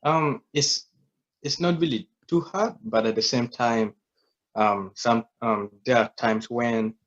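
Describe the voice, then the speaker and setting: A man's voice: mid-range voice, steady tone, slow pace, muffled recording. A young man from South Sudan in his twenties, a humble and reflective guest telling his story in a casual interview.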